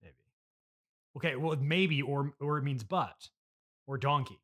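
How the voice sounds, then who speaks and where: A man hosting a comedy interview, hesitant and halting, politely skeptical as he asks questions. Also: mid-range voice, a bit bright. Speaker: interviewer, podcast host. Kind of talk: improvised deadpan comedy interview.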